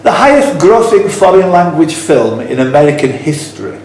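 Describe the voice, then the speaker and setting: A man's voice: on the high side, sing-song, moderate pace, a little mellow. A man working as a quizmaster, reading out questions with blunt, teasing, informal banter. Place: echoey indoor club room. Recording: very echoey room, faint background noise.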